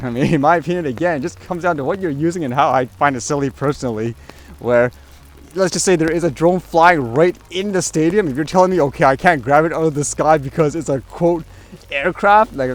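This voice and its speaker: A man giving casual opinion commentary as a vlogger, rambling along conversationally with frequent hedging asides. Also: a little high. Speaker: vlogger host.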